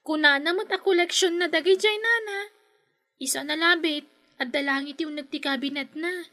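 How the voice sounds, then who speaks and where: Actress as a very high-pitched polite young Ilocana. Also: female voice, normal speed, bright, forceful tone. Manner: polite, deferential. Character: polite, persistent young woman debt collector. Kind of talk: Ilocano comedy radio drama acting.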